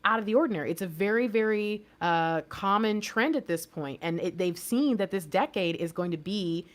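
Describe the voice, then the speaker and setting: A woman in her thirties from the United States gives a casual tarot reading at a middling pitch. She talks in a chatty, rambling way, often trailing off into asides, and laughs easily along the way. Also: normal speed. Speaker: tarot reader.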